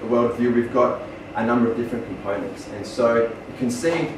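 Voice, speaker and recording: A man's voice: mid-range voice, fairly even intonation, moderate pace. Australian male speaker, earnest talk, distant mic, echoey room. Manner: earnest, with many ums and restarts. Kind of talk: public presentation. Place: small venue, far from the mic. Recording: echoey room from far off, noisy.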